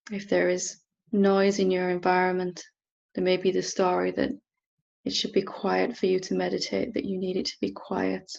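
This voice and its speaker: Female meditation teacher: soft instruction, level intonation, creaky texture, lingering pauses, somewhat muffled sound. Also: fairly low pitch, completely flat delivery. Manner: unhurried, gentle, with long pauses. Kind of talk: guided meditation, softly instructing.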